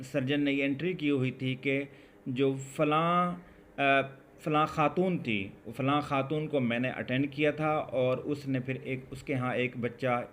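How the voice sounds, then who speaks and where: A Pakistani man gives an exam lesson at an unhurried pace, in a mid-pitched voice. He explains conversationally, rephrasing point by point. There is faint noise behind him. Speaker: law teacher. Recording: background noise throughout.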